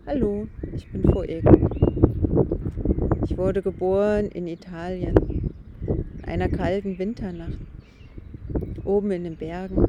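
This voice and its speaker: A woman voicing a gentle grown male horse who tells his life softly and simply as a meditation story. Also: mid-range voice, dull audio, natural voice. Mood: fond, wondering. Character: gentle twelve-year-old gelding horse telling his life. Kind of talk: first-person meditation storytelling. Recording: outdoor background noise with birdsong.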